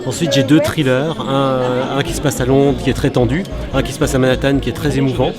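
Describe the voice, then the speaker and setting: A man's voice: medium pitch, steady tone, quick pace, loud music behind the voice, a loud crowd. A man speaking as an author, giving an unscripted presentation of his books.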